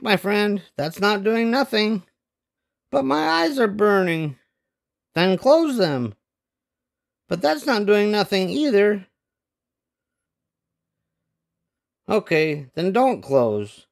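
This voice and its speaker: An older man puts on restless young cartoon boys from a storybook. The delivery is dramatic and slow, swinging widely in pitch, with a gravelly creak. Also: full character voice. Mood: insistent. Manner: expressive, dramatic. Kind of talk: animated storybook read-aloud with character voices.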